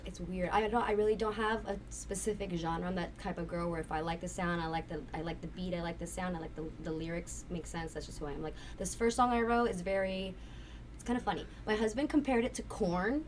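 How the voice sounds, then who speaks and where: Raspy fast-talking woman guest. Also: on the low side, steady tone. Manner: rambling, candid, swears casually. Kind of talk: casual interview chat. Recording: distant mic with faint noise.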